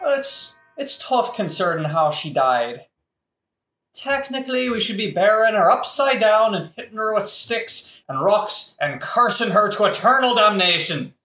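Actor doing deadpan officious type, dry matter of fact, pitch moves around, echoey audio play. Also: unhurried. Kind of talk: satirical modern-language audio play acting. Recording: echoey room.

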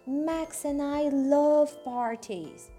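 A friendly floppy-eared toy rabbit from a children's storybook, read by a woman. She is sweet, eager and a little timid, with a high voice and an unhurried pace. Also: warm tone, faint music behind.

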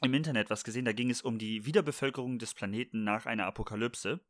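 A man's voice: mid-range voice, fast, fairly bright tone, no echo, studio quality. A man chatting casually as a podcast co-host, joking and rambling with frequent restarts. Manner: playful, jokey, rambling with many restarts. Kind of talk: casual chat.

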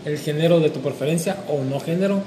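A man's voice: fairly high pitch, fast talker, dark tone, a little hiss or hum. A man co-hosting a casual panel discussion, hesitant and searching for words, with dry jokes. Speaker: co-host. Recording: echoey room with music behind.

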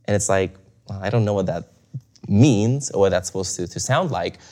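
A man's voice: sits low, normal speed, a little mellow. A young adult man who grew up in Bulgaria, talking as an interview guest in a reflective way, with many fillers and restarts and frequent chuckles. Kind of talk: casual conversational interview.

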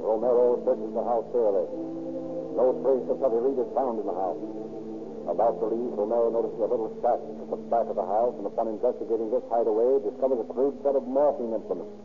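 A man's voice: mid-range voice, fairly even intonation, fast, lightly acted. A man reading scripted narration for an old radio crime drama. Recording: tinny, noisy old radio recording.

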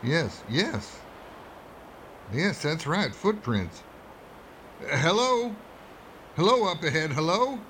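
A man's voice: on the high side, very animated, slow pace, long pauses, a bit bright, a little hiss or hum, heavily acted. A man playing a stern, urgent small-town doctor in old-time radio horror style, commanding and dramatic. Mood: calling out.

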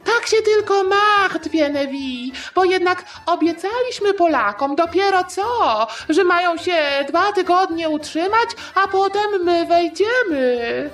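A woman voicing a timid, sly, flattering male politician with a wheedling, hesitant manner in a satirical audio play. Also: very high-pitched, measured pace, hardly any pauses, faint music behind, pitched above the performer's own voice, full character voice. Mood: sly, agreeable.

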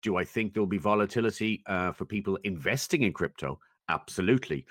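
A man giving considered opinions as a guest in a conversational interview, thoughtful and measured, often pausing as he goes. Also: fairly low pitch, lively intonation, a bit bright, no room sound, clean studio sound. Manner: thoughtful, measured, halting with pauses. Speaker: interview guest, technology commentator.

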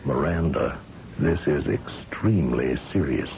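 An actor playing a commanding, folksy governor of a space colony who questions shrewdly, in an old-time radio drama. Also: male voice, rumbling low, expressive, measured pace, vocal fry, darkish tone, exaggerated voice. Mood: pressing, shrewd. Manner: folksy, probing, patronizing. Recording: dull, noisy old radio recording.

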